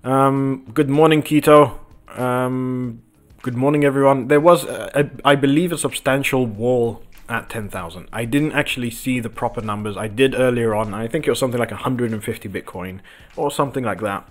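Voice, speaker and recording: A man hosting a casual livestream from his home office, croaky from just waking up, rambling with lots of ums as he talks to viewers and the chart. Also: medium pitch, normal speed. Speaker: crypto livestream host. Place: home office with window open.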